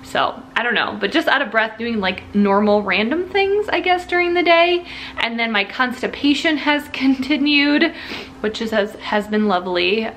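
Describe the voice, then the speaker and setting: A woman's voice: on the high side, expressive, normal speed, fairly bright tone, a little hiss or hum. A young woman from Ohio giving a casual, rambling vlog update, restarting sentences and laughing a little. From Ohio, United States. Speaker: vlogger.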